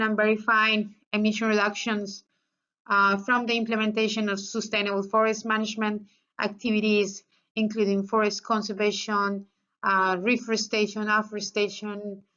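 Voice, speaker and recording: Bright-voiced Colombian woman, hesitant. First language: Spanish. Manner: hesitant, many fillers and restarts. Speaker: student introducing herself. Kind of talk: casual self-introduction. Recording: slightly muffled low-fidelity recording.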